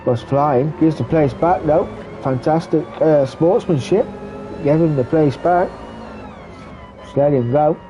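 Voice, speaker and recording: A man giving relaxed running commentary on a sim race, stuffy and muffled from a cold. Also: a little high, a little slow, faint music behind. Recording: muffled lo-fi recording with background noise.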